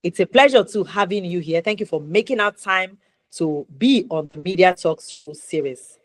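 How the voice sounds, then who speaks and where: Emphatic low-voiced Nigerian woman hosting. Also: lively intonation, dry recording. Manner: emphatic, deliberate phrasing with rhetorical questions. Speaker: talk show host. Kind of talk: interview hosting with introductions.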